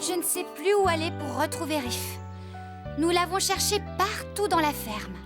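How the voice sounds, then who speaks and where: A woman voicing a bossy, tidy and caring farmer in a children's cartoon, with a brisk, expressive manner. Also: very high voice, loud music behind the voice. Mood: upset, downcast. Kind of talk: French children's cartoon dubbing.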